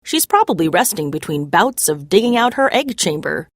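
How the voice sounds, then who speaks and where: Woman reading a brisk, knowing storybook girl. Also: fairly low pitch, sing-song, dry recording, studio quality. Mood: calm, hopeful. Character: businesslike, knowledgeable girl leading a turtle patrol.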